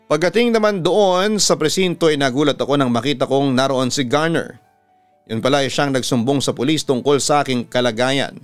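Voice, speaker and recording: A man's voice: mid-range voice, dry recording. A man with a Filipino accent, a radio announcer and host, giving a dramatic reading of a listener's letter. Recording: music behind the voice. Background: background music.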